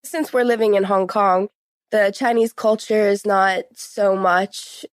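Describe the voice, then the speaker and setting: A woman's voice: medium pitch, steady tone, unhurried, dry recording. A woman in her late teens giving a conversational personal account as a podcast guest.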